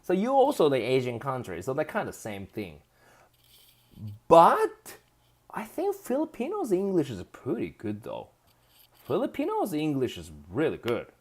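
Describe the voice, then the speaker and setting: Lively Japanese-accented man chatting with viewers. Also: fairly high pitch, unhurried. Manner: lively, laughs often, reads chat comments aloud. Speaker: live stream host. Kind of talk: casual live stream chat reading viewer comments.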